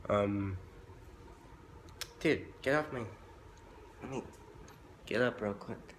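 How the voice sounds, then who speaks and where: A hoarse young man who has been sick, talking haltingly with many fillers and restarts in a casual vlog from home. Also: lowish voice, a little hiss or hum. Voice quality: rough-voiced. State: hoarse from illness. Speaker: vlogger.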